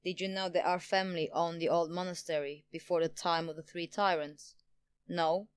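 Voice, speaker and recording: A woman's voice: fairly low pitch, fairly even intonation, normal speed, clear, resonant tone, lightly acted. A woman playing a smug, power-hungry politician in an audio drama, pompous and theatrical in manner. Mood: smug, explaining. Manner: theatrical, pompous, self-satisfied. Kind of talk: audio drama villain acting.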